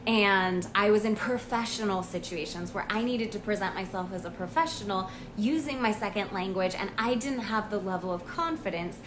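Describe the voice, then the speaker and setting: An American woman, a language coach, talking about her own experience in a casual, earnest vlog monologue with frequent pauses and ums. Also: medium pitch, noisy background. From United States. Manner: earnest, reflective, with pauses and fillers.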